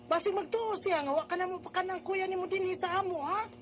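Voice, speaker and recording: A woman's voice: very high voice, brisk, lo-fi sound, slight background noise. A woman playing a concerned, scolding elder sister with a Filipino Bisaya accent in a radio drama. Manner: nagging, admonishing.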